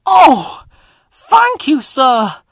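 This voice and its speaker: A man's voice: very high voice, wildly swooping pitch, very slow, vocal fry, a bit bright, no room sound, studio quality, pitched above the performer's own voice, heavily acted. A man voicing a cheerful but nervous little steam engine in a storybook, eager and easily frightened. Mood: grateful.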